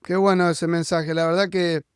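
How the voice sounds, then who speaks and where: A man with a high, clear voice interviews a guest in a casual, conversational way. He speaks, and the sound is dry and close. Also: little pitch movement, normal speed, dry recording. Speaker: podcast host interviewing a guest.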